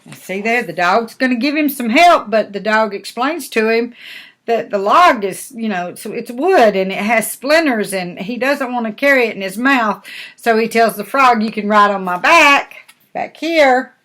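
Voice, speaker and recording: An older woman, a grandmother, reading a picture book aloud to young children with gentle, folksy explanations and chatty asides. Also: on the high side, animated, moderate pace.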